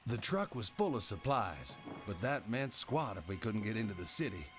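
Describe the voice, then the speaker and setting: A man's voice: lowish voice, sing-song, normal speed, over music. An actor playing a grim male survivor, narrating a zombie game cutscene. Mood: grim, weary.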